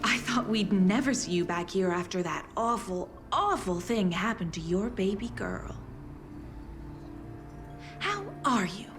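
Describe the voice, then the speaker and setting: A woman playing an effusive, nosy small-town local in a video game, sweetly tactless, with dramatic pauses. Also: mid-range voice, sing-song, music in the background. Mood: gushing.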